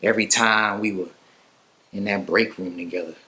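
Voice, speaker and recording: A male actor performs a creepy killer toying with his prisoner in a dramatic monologue. His sharp, lowish voice is mock-friendly and taunting, liable to swoop erratically. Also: fairly even intonation, normal speed. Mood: quiet menace.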